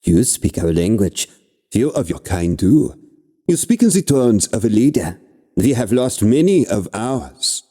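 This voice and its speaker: A man's voice: lowish voice, big pitch swings, measured pace, dark tone, husky, in a large hall, pitched above the performer's own voice, full character voice. A man voicing a clipped German-accented insect demon. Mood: formal. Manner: clipped broken phrasing. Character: insect-headed demon humanoid, chittering, blunt.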